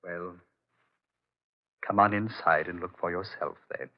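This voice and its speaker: An actor playing a dismissive, placating old friend and host in 1940s radio drama style. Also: male voice, low voice, fairly even intonation, unhurried. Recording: narrow, lo-fi old radio broadcast.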